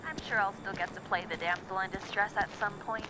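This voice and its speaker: A woman's voice: medium pitch, fairly even intonation, a little fast, noisy background, lightly acted. A young woman acting an easygoing, playful friend in a video game, with a casual, wry and supportive manner.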